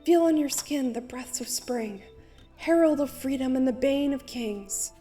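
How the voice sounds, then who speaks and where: A woman's voice: sits high, unhurried, dark tone. A woman voicing a playful, poetic young male bard in a comic dub, with a lilting, theatrical delivery over music. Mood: lyrical, teasing. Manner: lilting, theatrical, speaks in rhymes. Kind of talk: dramatized fan comic dub.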